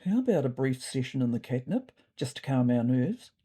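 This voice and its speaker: Pushy confident tomcat bandmate, voiced by a woman, casual and bossy, lively up and down pitch. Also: normal speed, breathy edge, heavily acted. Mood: coaxing, blunt. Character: brash, pushy, careless male cat bandmate.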